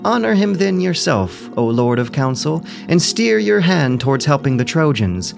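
A man's voice: on the high side, big pitch swings, normal speed, creaky, faint music behind, voice pushed up, full character voice. A man voicing a pleading goddess mother in an epic audio drama, imploring in formal archaic language. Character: pleading sea goddess mother, imploring Zeus for her son.

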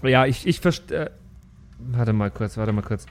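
A man's voice: medium pitch, unhurried. A man improvising casually as a player in a tabletop role-playing game. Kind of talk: improvised in-character role play, casual chat.

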